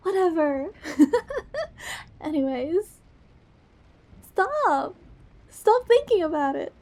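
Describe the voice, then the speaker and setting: A woman acts out a sweet, goofy young girlfriend in an intimate ASMR roleplay. Her voice is high and breathy with a very mellow tone. She talks slowly, rambling playfully as she reassures her partner with tender affection. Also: heavily acted.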